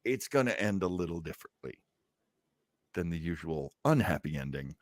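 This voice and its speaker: A man's voice: deep voice, moderate pace, no room sound, studio quality. A man chatting casually as co-host of a show, rambling and jokey as he introduces things. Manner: animated, rambling, jokey, acts out reactions. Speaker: podcast co-host introducing old radio shows. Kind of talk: casual chat.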